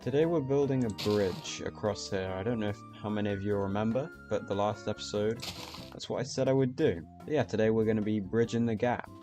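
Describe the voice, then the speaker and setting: A teenage man speaking calmly and reflectively, commenting over his gameplay. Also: on the low side, brisk. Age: teens. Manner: soft-spoken, reflective. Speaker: YouTuber. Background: faint music.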